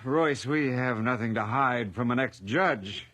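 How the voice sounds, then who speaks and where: Gruff tired police chief, radio play, ordinary mid pitch, bossy and sardonic. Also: male voice, unhurried, fairly bright tone. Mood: brisk, commanding. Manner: brusque, sardonic. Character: gruff, weary small-town police chief in command. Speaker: actor in radio drama. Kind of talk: radio drama acting.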